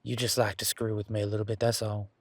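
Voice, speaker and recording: A man playing a laid-back, wry werewolf in an intimate one-sided audio roleplay, talking in a casual, folksy, teasing way with a husky voice. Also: lowish voice, level tone, quick pace, dry recording.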